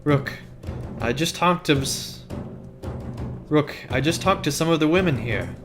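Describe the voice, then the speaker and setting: A man's voice: fairly high pitch, lively intonation, measured pace, over music. A man reading aloud the lines of a determined female warrior leader in a game, urgent and stumbling over names.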